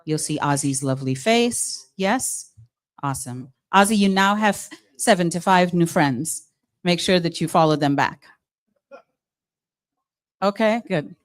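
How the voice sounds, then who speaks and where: A woman's voice: on the low side, unhurried, long pauses. A woman from Guyana with a Guyanese accent, hosting a live charity evening as mistress of ceremonies and coaxing the crowd. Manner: lively, coaxing the audience.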